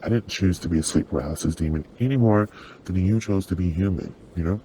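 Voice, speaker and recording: A performer with a voice changer, playing a gentle, apologetic sleep paralysis demon who soothes and reassures in an ASMR roleplay. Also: low voice, quick pace, slight background noise.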